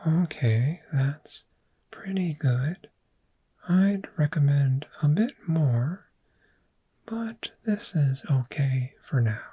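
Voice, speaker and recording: Casting: woman to play a calm dragon therapist for ASMR roleplay; mellow, whispery, extremely slow and measured delivery, reassuring. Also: sits low, heavily acted. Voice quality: breathy, whispery. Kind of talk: soft-spoken ASMR roleplay.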